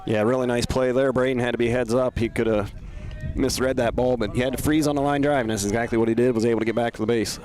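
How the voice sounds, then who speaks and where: An American man gives color commentary at a baseball game. He talks quickly in a mid-range voice that stays quite even. His analysis of the players is conversational. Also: medium pitch. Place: at an outdoor high school baseball game.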